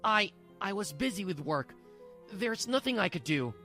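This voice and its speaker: A woman's voice: medium pitch, very animated, normal speed, pushed and bright, soft background music. A woman voicing a self-pitying, evasive young husband in a dubbed manga drama, stammering and pleading his excuses. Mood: flustered excuses.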